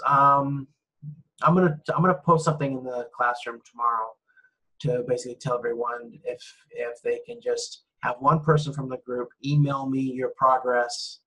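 Male lecturer, middle-aged, slightly husky, casual classroom delivery with frequent fillers. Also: mid-range voice, fairly bright tone, rough recording. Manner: loose, conversational, with many fillers and restarts. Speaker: university lecturer. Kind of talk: recorded lecture over slides.

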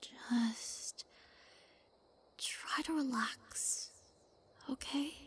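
A woman playing a sweet, shy young witch healer in an intimate audio roleplay, speaking gently and hesitantly, often near a whisper. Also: high-pitched, drawn-out words. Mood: tender, concerned.

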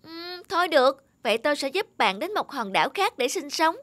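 High, kindly cartoon monkey. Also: big pitch swings, brisk, fairly bright tone, no room sound, studio quality. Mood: agreeable. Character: kind, obliging monkey friend. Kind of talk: children's cartoon fable acting. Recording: music behind the voice.

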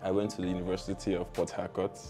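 A man's voice: sits low, little pitch movement, fast talker, over music. A young man with a Nigerian accent, telling stories casually and with informal slang as an interview guest. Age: young adult. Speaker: guest, tech founder. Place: small curtained indoor studio, seated.

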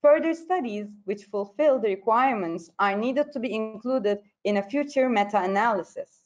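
A dull, slightly lo-fi recording of a woman with a fairly high voice and a Turkish accent. She reads a scripted academic presentation in a formal, careful manner, like an online lecture over slides. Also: lively intonation, moderate pace. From Turkey. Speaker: presenter, periodontology postgraduate.

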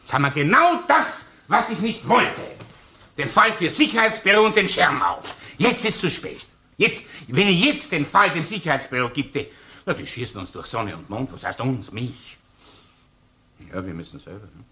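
An actor playing a shrewd middle-aged Viennese chief inspector in a crime drama, thinking a case through aloud. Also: male voice, a little high, very animated, normal speed, sharp tone, breathy edge, heavily acted. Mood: exasperated. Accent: Austrian, Viennese. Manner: thinking aloud, tags sentences with "ne". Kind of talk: crime radio play acting.

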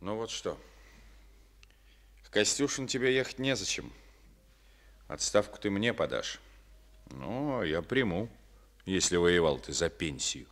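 An actor playing a firm, probing district party secretary in a studio radio play, questioning and rebuking in a calm manner. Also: male voice, low-pitched, measured pace, long pauses, full character voice. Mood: stern. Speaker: party official.

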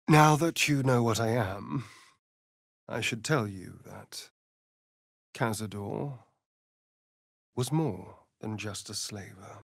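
An actor playing a sardonic, flirtatious vampire elf rogue in a video game, with an arch, droll, theatrical delivery. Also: male voice, mid-range voice, husky, no echo, studio quality, heavily acted. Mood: low, serious. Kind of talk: video game character voice lines.

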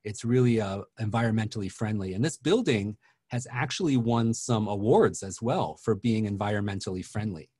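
A man's voice: fairly low pitch, normal speed, mellow, husky, no room sound. Host, man in his forties, friendly leading questions over a video call, dry close sound.

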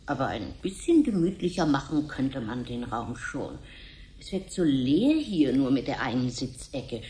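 A woman's voice: a bass voice, big pitch swings, unhurried, mellow, a little hiss or hum, exaggerated voice. An actress playing a self-assured, persuasive lady guest with expensive tastes in a 1970s radio play. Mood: chatty, assured.

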